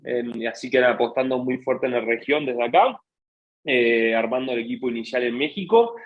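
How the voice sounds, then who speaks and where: A man's voice: mid-range voice, normal speed. Man, argentine accent, hesitant with fillers, muffled lo-fi video call sound. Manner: hesitant, stacks fillers and repeated words. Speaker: panelist. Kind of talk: webinar self-introduction.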